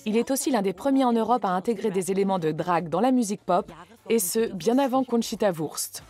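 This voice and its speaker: A woman's voice: medium pitch, lively intonation, a little fast. Woman narrating a documentary over music. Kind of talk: scripted narration.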